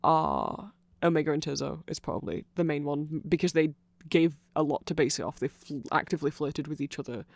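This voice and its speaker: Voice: medium pitch, expressive, a little fast, gravelly, hardly any pauses, no room sound. A person giving an informal, rambling ASMR-style explanation full of asides, close to the microphone. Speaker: ASMR audio creator.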